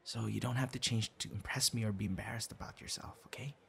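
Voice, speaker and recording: A man's voice: lowish voice, fairly even intonation, quick pace, vocal fry. A man acting a shy, kind young boyfriend in an ASMR roleplay, speaking conversationally with hesitations and stammers. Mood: pleading, tender.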